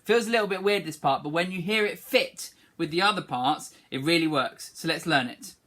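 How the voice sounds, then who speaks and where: A woman's voice: on the low side, fairly quick, fairly bright tone. A woman teaching children a song, speaking encouragingly and singing short phrases for them to repeat. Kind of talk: online singing lesson, call and response.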